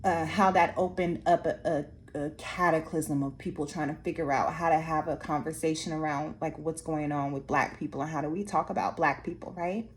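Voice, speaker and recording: A woman who trains art teachers, losing her voice and sounding hoarse, talking slowly with many restarts. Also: sits low, steady tone, a little hiss or hum. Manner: slow, halting, many restarts and fillers. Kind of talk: reflective casual monologue to viewers. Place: Anchorage area after workshops.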